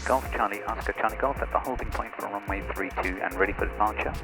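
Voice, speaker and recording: A man speaking as a pilot on a headset, in a measured, polite, procedural way. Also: lowish voice, music in the background. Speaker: pilot, flight vlogger. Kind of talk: radio calls and casual cockpit commentary. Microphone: aviation headset. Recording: noisy light aircraft cockpit. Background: engine drone of a light aircraft.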